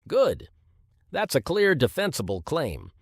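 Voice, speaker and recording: Male actor as authoritative professor, critical and precise, highly varied intonation, dry close sound. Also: huge pitch swings, a little slow, no room sound, heavily acted. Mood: measured, approving. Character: male professor supervising students, exacting and measured. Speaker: academic supervisor. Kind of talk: scripted exam listening dialogue.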